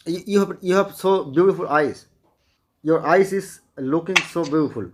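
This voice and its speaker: A man's voice: on the high side, moderate pace, noisy background. A man from Bangladesh with a Bangladeshi accent, hosting a casual video chat in simple, repetitive English full of compliments.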